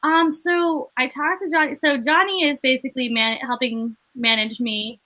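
Young comedian woman, bright and a touch high-pitched, rambling casual guest. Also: steady tone, normal speed. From Maine. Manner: chatty, lots of "like" fillers. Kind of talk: casual interview chat.

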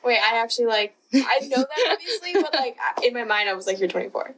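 Breathy woman in her twenties, giggly chat. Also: a little high, expressive, quick pace, a bit bright, low-quality recording. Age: 20s. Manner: giggly, searches for words, many fillers. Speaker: podcast co-host. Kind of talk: casual chat.

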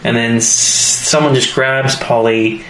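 A male podcast co-host retells a story in a loose, conversational way, pausing and restarting with small asides. His pitch sits in the middle. The room is echoey, with faint noise behind him. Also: fairly even intonation, fairly bright tone. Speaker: podcast co-host recapping a TV episode. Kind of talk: casual chat, episode recap. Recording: roomy echo, faint background noise.

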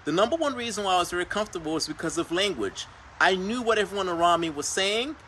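Bright-voiced Haitian-born man giving motivation. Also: sits high, expressive, quick pace, slight background noise, poor audio. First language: Haitian Creole. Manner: earnest, repeats phrases, says "per se" and "right?". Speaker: podcast host. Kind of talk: motivational livestream talk.

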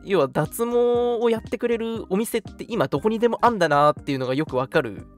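A young man in his early twenties with a fairly high voice and very lively intonation. He chats casually as a radio co-host, agreeing readily as he goes. Music plays softly behind him. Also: fast. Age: early 20s. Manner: frequent agreeing backchannels. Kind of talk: casual chat. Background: background music.

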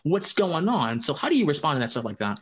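A man of about twenty hosts an interview and asks earnest questions. His voice is husky and his pitch moves in a lively way. He talks at a fast clip. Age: 20s. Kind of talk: interview conversation.

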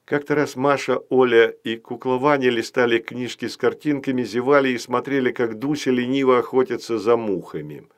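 A man reading a children's story as scripted audiobook narration. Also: medium pitch.

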